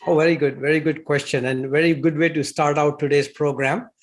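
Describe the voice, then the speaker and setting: Guest, 60s, from India, talkative storyteller, slightly higher voice. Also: male voice, fairly even intonation, brisk. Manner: warm, chatty, with frequent fillers and laughs. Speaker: interview guest. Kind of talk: storytelling interview answers.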